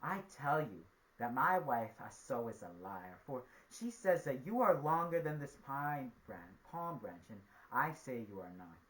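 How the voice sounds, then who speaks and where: A man's voice: mid-range voice, sing-song, unhurried, a bit bright, rough recording. Man playing a muttering sly old spider trickster. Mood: sly complaint. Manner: muttering, argumentative.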